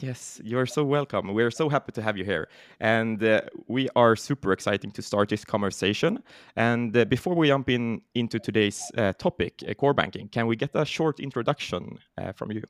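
A man hosting an interview podcast, pausing often on filler words. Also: medium pitch, expressive, dry recording, studio quality. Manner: hesitant, many filler words. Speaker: podcast host.

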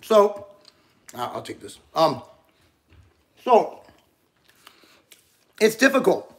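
A man's voice: high voice, sing-song, drawn-out words. A man in his forties co-hosting a casual talk show, rambling with frequent restarts. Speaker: podcast co-host. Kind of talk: casual chat.

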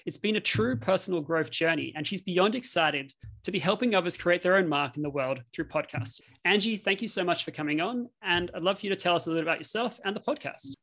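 A man's voice: sits high, expressive, fast talker, hardly any pauses, pushed and bright. A man hosting a podcast, reading a polished, upbeat introduction and then interviewing a guest. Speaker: podcast host and coach.

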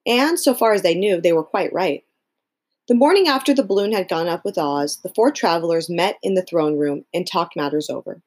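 Expressive woman storyteller, lowish husky voice. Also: moderate pace. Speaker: storybook reader. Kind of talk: expressive scripted children's story narration.

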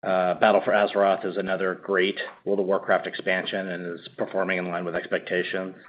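A man's voice: low voice, completely flat delivery, fairly quick, a little hiss or hum. A man answering as a company executive on an earnings call in a conversational way, often padding with "you know". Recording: slightly dull conference call audio.

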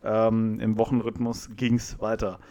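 A man's voice: lowish voice, level tone, measured pace, warm tone. A man talking in a loose, colloquial way as a podcast host. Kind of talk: casual chat.

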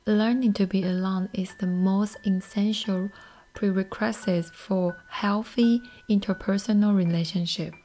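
Soft-spoken Taiwanese woman, mellow voice. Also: medium pitch, a little hiss or hum, poor audio. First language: Mandarin. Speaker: podcast host. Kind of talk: scripted personal monologue.

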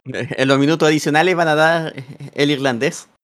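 A man's voice: on the high side, steady tone, moderate pace, fairly bright tone. A man. He chats casually about the match as one of a group of football commentators. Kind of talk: casual live football chat.

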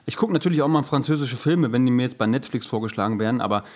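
Male co-host, medium-pitched voice, loose colloquial conversation that hedges and pushes back. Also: lively intonation, rapid-fire. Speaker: podcast co-host. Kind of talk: casual podcast chat.

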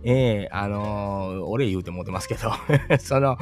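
A man's voice: fairly low pitch, normal speed. Chatty Kansai man over guitar music. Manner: chatty, rambling, lively. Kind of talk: casual monologue.

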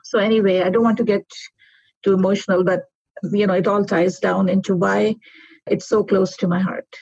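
A middle-aged woman from Pakistan, a physician answering interview questions in an earnest, reflective way with pauses and restarts. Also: mid-range voice, flat delivery, vocal fry, darkish tone, husky, dry recording. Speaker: guest physician. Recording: dull lo-fi sound.

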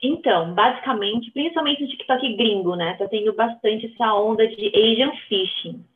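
Woman, Brazilian accent, fairly high pitch, casual podcast guest, slangy, tag questions, thin phone-like audio. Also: moderate pace. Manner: informal, peppers talk with slang and tag questions. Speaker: podcast guest, social media creator. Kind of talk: casual conversational interview. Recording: thin phone-like remote line.

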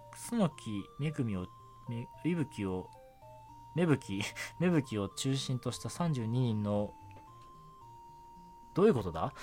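A young man hosting a casual solo radio show, talking in a halting way as he thinks aloud. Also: medium pitch. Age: young adult. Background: background music.

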